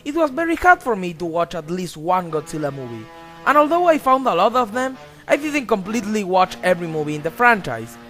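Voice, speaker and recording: A young man narrates in a high, very animated voice. His delivery is emphatic and punchy, full of exclamations and crude asides. Faint music plays under his scripted voice-over. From Argentina. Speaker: countdown video host.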